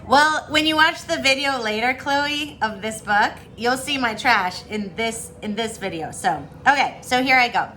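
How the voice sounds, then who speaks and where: A woman teaching on a video call, reading aloud and chatting with children in an expressive, encouraging way. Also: on the high side, normal speed, few pauses, slight background noise. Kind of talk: online storybook read-aloud with casual chat.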